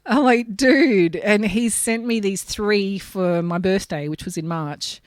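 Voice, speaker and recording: A woman DJ chatting as a guest, a bit hoarse and raspy from a cold, telling stories with lively enthusiasm. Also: mid-range voice, takes it slowly, no echo, clean studio sound. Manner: animated, enthusiastic storytelling. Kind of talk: casual chat.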